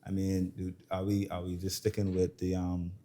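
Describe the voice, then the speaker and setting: Deep, mellow-voiced talk show host. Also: male voice, monotone, normal speed. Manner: affirming, many backchannels and repeated words. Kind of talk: casual interview chat.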